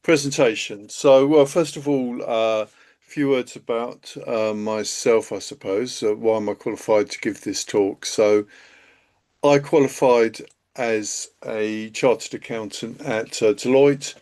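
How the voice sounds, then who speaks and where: A man hosting a live webinar over a video call, chatty and halting, with many fillers. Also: medium pitch, fairly even intonation, a little mellow. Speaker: live stream host, accountant. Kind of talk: casual live webinar talk.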